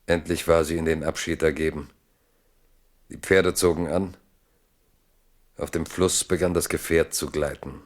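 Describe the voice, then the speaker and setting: Deep-voiced male radio-play narrator, grave and measured. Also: little pitch movement.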